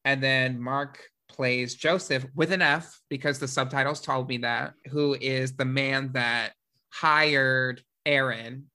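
A man chats as a podcast co-host with a bright, sharp-edged voice at an average male pitch. His delivery is casual and playful, full of slang and swearing. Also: steady tone, slow pace. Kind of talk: casual chat about horror movies.

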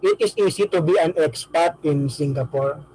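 A man hosting an interview, asking questions slowly and deliberately and repeating his phrases. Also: a little high, dark tone, slightly rough, poor audio. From Philippines. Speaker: podcast host.

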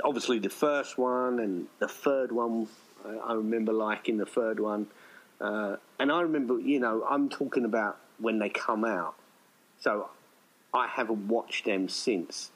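Deep-voiced, rambling middle-aged man. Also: fairly bright tone, poor audio. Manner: rambling, conversational, often circling back. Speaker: guest filmmaker being interviewed. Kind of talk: casual interview chat.

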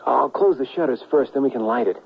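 An actor playing a nervous, kindly, stammering gentleman in a western radio drama. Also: mid-range voice, quick pace, creaky, highs cut off, slight background noise, close to an everyday voice. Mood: reassuring. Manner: hesitant, stammering. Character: nervous, kindly gentleman in fine clothes, Mister Sweeter. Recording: thin vintage radio broadcast, music behind.

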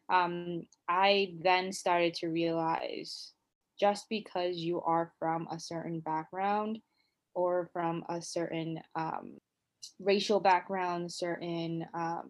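A woman talks through her own story on a podcast, in a slightly low voice. She is reflective and unhurried, pausing often on "um" and "you know" and restarting her sentences as she gathers her thoughts. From United States. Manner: reflective, many fillers and restarts. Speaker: podcast co-host. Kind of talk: casual conversational storytelling.

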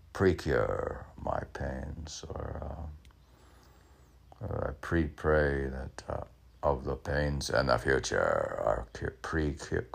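A man in his sixties rambling alone between lines of his own poetry, halting, questioning himself and repeating phrases. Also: extremely low pitch, vocal fry. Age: 60s. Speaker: poet reading own work and commenting. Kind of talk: rambling solo monologue mixed with poem reading.

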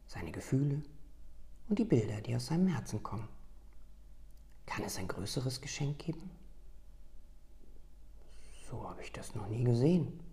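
Female storyteller, hushed whispered reading, slow and soft, husky low register with fry.

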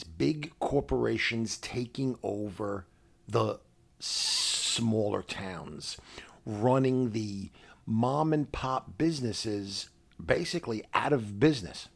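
A middle-aged man with a New York accent, casually explaining things as a podcast co-host in a measured, halting way. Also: mid-range voice, creaky, husky.

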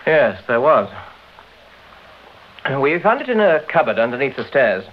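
Polite British police inspector, thin radio sound. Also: male voice, medium pitch, very animated, unhurried. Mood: matter-of-fact. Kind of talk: vintage BBC radio drama acting. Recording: thin, narrow, hissy old radio recording.

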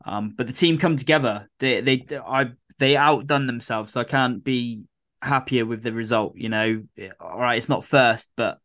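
A man co-hosting a podcast in casual chat, his voice a bit croaky, rambling with lots of fillers and restarts. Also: medium pitch, moderate pace, a bit bright. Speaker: podcast co-host.

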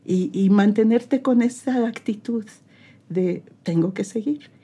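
A woman's voice: mid-range voice, a little slow, dark tone, husky. A Mexican woman, an English teacher, giving earnest, encouraging advice as a guest in an interview. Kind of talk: interview conversation.

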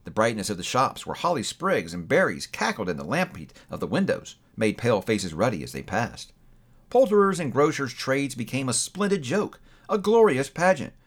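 A man reading a classic story aloud in a theatrical, measured storytelling manner. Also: mid-range voice. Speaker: book reviewer reading aloud. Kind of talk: expressive audiobook-style narration.

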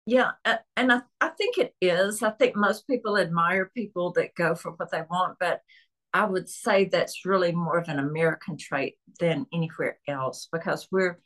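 A woman's voice: on the low side, fairly bright tone. Southern woman with a Tennessee accent. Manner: chatty, friendly, with frequent restarts. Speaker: guest author and historian. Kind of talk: casual podcast interview.

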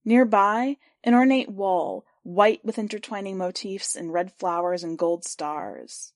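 A woman's voice: medium pitch, expressive, no room sound. Woman reading a literary story, dry close sound. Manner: measured, clear first-person storytelling. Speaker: podcast story reader. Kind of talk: scripted narration of literary fiction.

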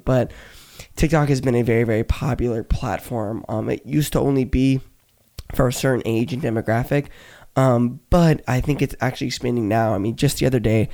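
A young man hosting a casual podcast, his voice a bit hoarse and raspy, chatting loosely with lots of fillers and calling his listeners guys. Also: mid-range voice, mellow. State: slightly hoarse voice. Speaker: podcast host. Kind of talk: casual solo podcast talk.

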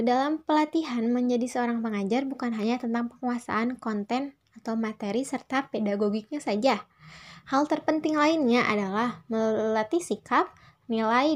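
A young woman gives a prepared student response in a polite, formal manner, often repeating and rephrasing her phrases. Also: a little high. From Indonesia. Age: young adult. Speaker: student presenter. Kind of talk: prepared spoken class response.

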